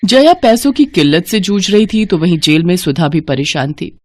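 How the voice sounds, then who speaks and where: A woman's voice: fairly low pitch, sing-song, moderate pace, darkish tone, no room sound. A woman narrating a scripted devotional story in a dramatic storytelling manner. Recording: music behind the voice. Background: background music.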